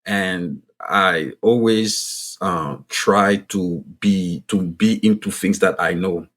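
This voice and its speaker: A man's voice: sits low, slow, fairly bright tone. A man from Ivory Coast with a French-speaking Ivorian accent, French his first language, speaking as a podcast guest in an earnest way that restarts phrases for emphasis. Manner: earnest, repeats and restarts phrases to stress a point. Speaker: guest economist and author. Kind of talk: podcast interview.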